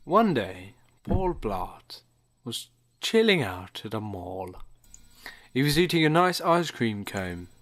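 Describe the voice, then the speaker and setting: A man's voice: mid-range voice, sing-song, takes it slowly, fairly bright tone, soft background music. A man hosting a comedy podcast, moving between casual banter and mock-dramatic storytelling. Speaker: podcast host and radio play narrator.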